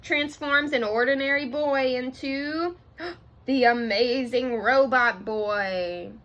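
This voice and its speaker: A woman voicing an excited young girl announcing carnival attractions theatrically in a storybook read-aloud. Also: sits high, takes it slowly. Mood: showy, excited.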